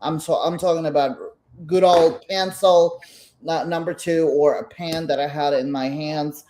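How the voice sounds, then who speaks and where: A middle-aged woman from Belarus with a Russian Brooklyn accent and Russian as her first language, speaking as the artist guest in a casual interview, halting and full of restarts and fillers. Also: deep voice, steady tone, dark tone.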